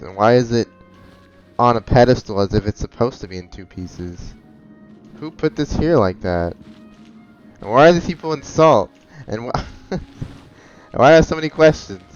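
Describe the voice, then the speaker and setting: A man's voice: mid-range voice, expressive, normal speed, muffled recording, soft background music. A man commenting casually while playing a game, musing and thinking aloud with small chuckles. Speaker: gameplay commentator. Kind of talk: casual let's-play commentary.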